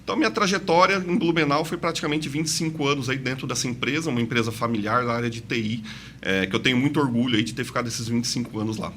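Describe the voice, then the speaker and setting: A man's voice: mid-range voice, fairly even intonation, quick pace, fairly bright tone, a little hiss or hum. A man of 46 from inland São Paulo with a mixed São Paulo and Santa Catarina accent, telling his story as a podcast guest. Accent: mixed São Paulo and Santa Catarina Brazilian. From interior of São Paulo state, Brazil. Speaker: podcast guest, investment advisor. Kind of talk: conversational interview, personal storytelling.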